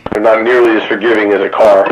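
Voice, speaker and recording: An American man chatting casually and haltingly over two-way radio, thinking aloud as he goes. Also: on the low side, little pitch movement. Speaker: radio hobbyist in a group chat. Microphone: two-way radio, recorded from a receiver stream. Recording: lo-fi CB radio with static.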